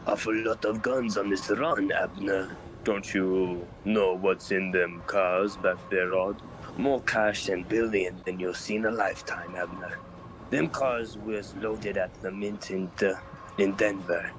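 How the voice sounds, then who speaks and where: A man playing a gruff Old West soldier guarding a train in a comic dub, with folksy, urgent speech. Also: low-pitched, steady tone, a little slow, slight background noise, low-quality recording. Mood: wary. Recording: voice under background music.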